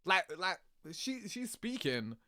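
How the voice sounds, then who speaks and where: A man's voice: sits high, big pitch swings, slow pace, sharp tone, dry recording, studio quality. A young man giving casual, slangy reaction commentary as a gaming host, with swearing and verbal tags. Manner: slangy, swearing, repeats "you know what I mean".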